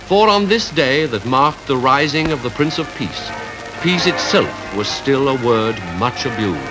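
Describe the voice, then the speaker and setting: A man with a British Received Pronunciation accent reading scripted 1950s newsreel narration in a formal, measured, rhetorical way. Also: medium pitch, animated, poor audio. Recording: 1951 archive newsreel with music behind.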